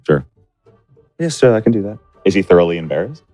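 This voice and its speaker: A man's voice: low-pitched, very animated, fast, warm tone, soft background music. A man playing a tabletop game, speaking casually, partly in character.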